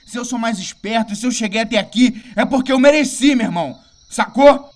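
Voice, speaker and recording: A man's voice: extremely high pitch, a little fast, voice pushed up. A man voicing a cocky, impatient young scout in an audio drama, slangy and combative, with a Brazilian accent. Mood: angry, scornful. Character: cocky, foul-mouthed young male scout, impatient and selfish.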